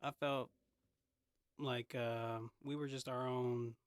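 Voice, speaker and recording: A man talks as a guest in a casual podcast chat, his voice sitting at a middle pitch in a dry, close recording. He is laid-back and blunt, with lots of slang and swearing. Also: flat delivery, takes it slowly, clean tone, dry recording. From San Francisco Bay Area. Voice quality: slangy, heavily profane speech. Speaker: podcast guest, hip-hop artist.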